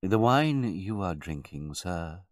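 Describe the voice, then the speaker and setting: A man voicing a deferential butler who is grave, formal and quietly disdainful, in a story reading. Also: fairly low pitch, lively intonation, no room sound, studio quality, voice pushed up. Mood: quietly firm. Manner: formal, measured, politely condescending.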